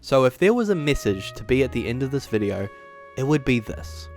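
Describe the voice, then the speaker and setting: A man hosting a scripted but casual, opinionated video review, with sarcastic, exaggerated emphasis and drawn-out words. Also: mid-range voice, very animated, darkish tone, faint music behind. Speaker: video essay host and reviewer.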